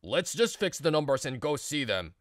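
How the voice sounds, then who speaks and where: Guy doing a stern office boss, scolding, big pitch swings, dry close sound, manga dub. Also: big pitch swings, moderate pace, fairly bright tone, no echo. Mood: stern, urgent. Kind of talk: manga video dub dialogue.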